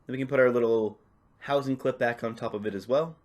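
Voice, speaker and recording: A man narrating a step-by-step tutorial off the cuff, in a casual, instructional way with softened phrasing. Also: medium pitch, quick pace. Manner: casual, instructional, hedging with "kinda" and "a little bit". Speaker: repair tutorial presenter.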